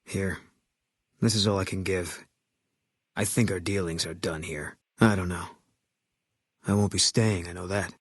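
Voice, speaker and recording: Low, husky, grim sniper. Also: male voice, a little slow, long pauses, dry recording, studio quality, exaggerated voice. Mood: guarded, weary. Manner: terse, flat, clipped, brooding delivery. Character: grim, bitter male ex-army sniper, guarded and grieving widower. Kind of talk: video game voice acting.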